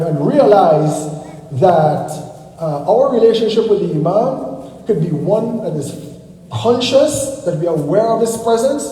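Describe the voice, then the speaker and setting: A man's voice: high-pitched, warm tone. A man giving a religious lecture and answering questions, talking conversationally and often checking in with "okay?". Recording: echoey hall with long reverb.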